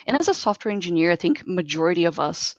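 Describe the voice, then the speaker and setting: A woman from Brazil, a native Portuguese speaker, speaking as a software engineer guest in an online interview and giving earnest, expansive advice. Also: lowish voice, expressive, fast talker, no room sound. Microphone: video call. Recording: dull dry video call audio.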